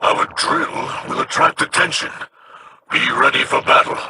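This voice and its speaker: A man's voice: mid-range voice, a little slow, noisy background, lightly acted. An actor playing a solemn, formal male alien leader who gives grave commands.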